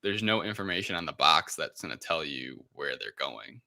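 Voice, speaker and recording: A man's voice: rumbling low, animated, moderate pace, creaky, pushed and bright. A man co-hosting a casual film discussion, recapping in a rambling, offhand and irreverent way. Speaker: podcast co-host recapping a film.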